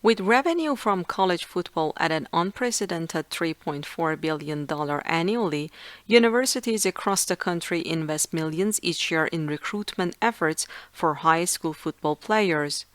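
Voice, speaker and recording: Clear, dry scripted read-aloud voice. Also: mid-range voice, lively intonation, moderate pace, fairly bright tone, no echo. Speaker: narrator of practice texts. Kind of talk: scripted read-aloud of exam practice passages.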